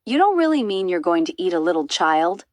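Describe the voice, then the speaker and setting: A woman's voice: on the low side, big pitch swings, a little fast, dry recording, clean studio sound. A woman voicing a friendly, fussy hippopotamus in a storybook, exclaiming emphatically and easily appalled.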